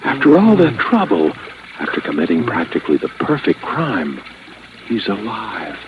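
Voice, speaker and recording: A man's voice: on the low side, wildly swooping pitch, dull audio. An actor playing a desperate, cold murderer scheming his perfect crime in a brooding, theatrical old radio drama monologue. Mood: frantic, murderous. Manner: theatrical, brooding, halting self-talk. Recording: band-limited old radio recording with music behind.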